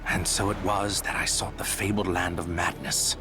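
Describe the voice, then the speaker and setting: A man's voice: low voice, steady tone, moderate pace, music in the background, close to an everyday voice, rough recording. An actor playing a sombre, guilt-ridden father narrating a fantasy game tale in a grave, regretful manner. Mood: weary, grave. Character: sombre, guilt-ridden father recounting his dark bargain.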